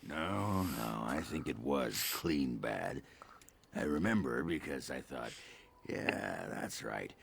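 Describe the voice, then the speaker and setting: Casting: rough, bashful dwarf, extremely gravelly bass, slow and halting, fantasy game. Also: male voice, animated, a bit bright, a little hiss or hum, exaggerated voice. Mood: crude, fond. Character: gruff, crude, bashful male dwarf warrior. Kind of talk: video game character dialogue.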